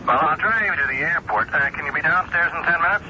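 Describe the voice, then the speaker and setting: An actor playing a smooth-talking, breezy scoundrel in a 1970s radio drama, glib, coaxing and casual. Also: male voice, a little high, quick pace, over a noisy crowd, close to an everyday voice. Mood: breezily reassuring. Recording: muffled vintage radio, clear hiss.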